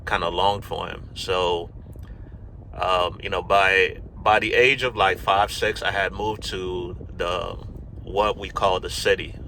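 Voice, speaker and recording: Man, from Ghana, middle-aged, low voice, vlog storytelling, rambling with fillers, faint background noise. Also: slow, rough recording. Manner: relaxed, rambling, many fillers and restarts. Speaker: YouTube vlogger. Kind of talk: casual personal storytelling to camera.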